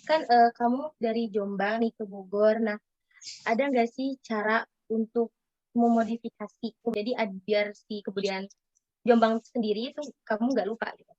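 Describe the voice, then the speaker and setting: A woman's voice: fairly high pitch, steady tone, normal speed, a little mellow, dry recording. A young woman student interviewing someone, asking rambling questions with fillers and restarts. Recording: dry, dull lo-fi sound.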